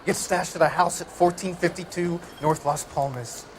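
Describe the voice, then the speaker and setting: An actor playing an anxious, demanding small-time addict who works as a police informant in a video game. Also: male voice, on the high side, fairly even intonation, normal speed, slight background noise, natural voice. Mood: wary. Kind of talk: video game cutscene acting.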